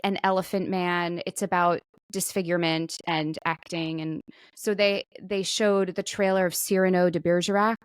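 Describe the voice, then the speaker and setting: A woman's voice: on the low side, steady tone, moderate pace, clear, resonant tone. A woman co-hosting a podcast in casual comedic conversation, with a playful, wry way of talking. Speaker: podcast co-host.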